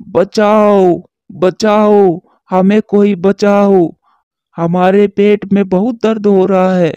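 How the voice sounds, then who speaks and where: A man voicing a scheming male crow who bullies other birds with slow, menacing threats. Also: high-pitched, level tone, warm tone, clean tone, no echo, highs cut off. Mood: wailing in pain.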